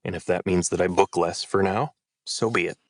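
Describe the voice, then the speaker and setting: A man's voice: deep voice, fairly even intonation, moderate pace, no echo, clean studio sound. A man who works as a voice actor, talking casually on a podcast in a thoughtful, rambling way. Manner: thoughtful, rambling with restarts and hedges. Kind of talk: casual chat.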